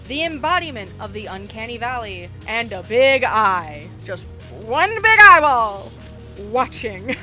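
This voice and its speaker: A woman narrating a scripted video essay with wry, informal asides and emphatic phrasing. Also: a little high.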